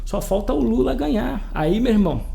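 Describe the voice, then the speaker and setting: A high-pitched man with a Brazilian accent speaks straight to his viewers as an opinion vlogger. His delivery is indignant and emphatic, circling back to repeat his points. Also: animated, a little fast, creaky, dark tone. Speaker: political commentary vlogger. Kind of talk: impassioned opinion rant to viewers.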